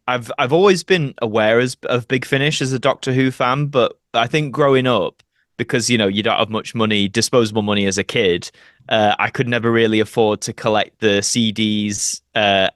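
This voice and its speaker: A man's voice: mid-range voice, steady tone, normal speed, fairly bright tone, no echo, studio quality. A man with a Yorkshire accent, telling rambling, colloquial anecdotes as an actor being interviewed. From Doncaster, Yorkshire, England. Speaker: actor, podcast guest. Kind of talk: casual interview storytelling.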